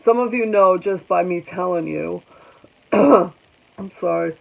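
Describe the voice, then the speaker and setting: Slow, congested woman in her forties. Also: on the low side, gravelly, dull audio. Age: 40s. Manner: halting, reflective, with many pauses and ums. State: congested, clearing her throat often. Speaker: vlogger. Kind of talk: personal vlog talking to viewers.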